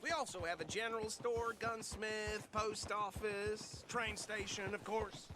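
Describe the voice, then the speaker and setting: A man's voice: high voice, fairly even intonation, a little slow, background noise. A man playing a genial, eager small-town guide in a video game. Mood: folksy, welcoming. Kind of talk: video game cutscene acting.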